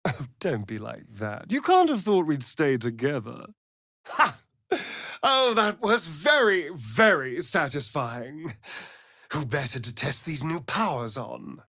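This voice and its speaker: A man's voice: fairly high pitch, very animated, slow, fairly bright tone, no echo, full character voice. Sardonic ancient vampire, arch and theatrical, video game style, takes his time, close dry sound. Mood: smug. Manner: arch, drawling, flamboyant delivery.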